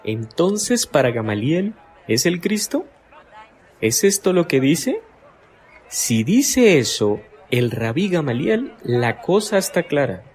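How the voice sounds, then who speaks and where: A man's voice: on the high side, big pitch swings, slow pace, a little mellow. An actor playing an older, skeptical townsman in a radio drama, asking probing, incredulous questions.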